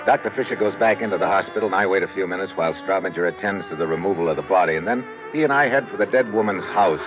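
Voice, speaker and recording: An actor playing a tough, wry American secret agent in an old radio detective drama, with clipped, slangy delivery. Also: male voice, sits low, very fast, dull audio, over loud music, lightly acted. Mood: wry narration. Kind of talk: 1950s radio detective drama acting and narration. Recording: noisy old radio recording.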